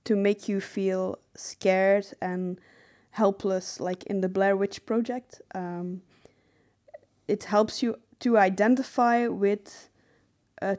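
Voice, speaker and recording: A woman's voice: medium pitch, darkish tone. Woman thoughtfully talking through film analysis. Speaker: podcast co-host. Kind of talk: casual film discussion.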